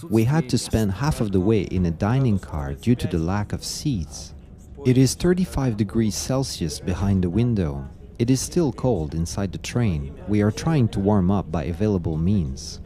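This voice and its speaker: A man reading scripted travel documentary narration in a measured, formal, slightly stiff way. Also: on the low side, warm tone. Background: music.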